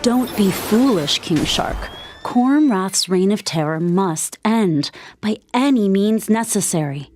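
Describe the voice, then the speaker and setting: A woman's voice: mid-range voice, animated, slow, a little mellow, slight background noise. A woman playing a noble, resolute widowed queen in a video game, speaking with regal passion. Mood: stern. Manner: impassioned, regal. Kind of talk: video game character acting.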